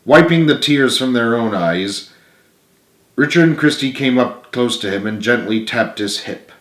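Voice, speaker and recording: A man's voice: mid-range voice, animated, measured pace, fairly bright tone. A man reading his own book aloud as dramatic storybook narration, earnest and emphatic. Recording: roomy, echoing room sound.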